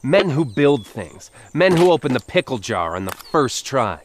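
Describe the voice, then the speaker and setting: Male commercial pitchman, mid-pitched and highly animated. Brash, comedic, mock-macho sell over some background noise. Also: measured pace. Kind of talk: comedic, punchy scripted ad pitch.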